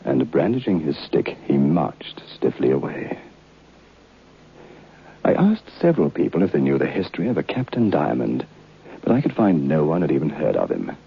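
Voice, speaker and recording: An actor playing a curious, bookish gentleman recounting a ghost tale in formal, earnest old-time radio style. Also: extremely low pitch, huge pitch swings, normal speed, creaky, slightly rough, exaggerated voice. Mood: reflective. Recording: muffled old radio recording.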